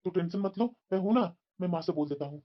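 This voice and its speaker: A woman voicing a gentle young husband and father who reassures his family. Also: lowish voice, fast talker, close to an everyday voice. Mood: coaxing. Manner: soothing and reassuring. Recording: dull lo-fi recording.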